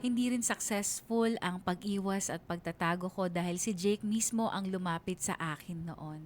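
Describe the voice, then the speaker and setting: A woman's voice: on the low side, normal speed, lightly acted. A woman playing a young woman narrating her own love story in a confiding radio drama style. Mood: sheepish. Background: music under the voice.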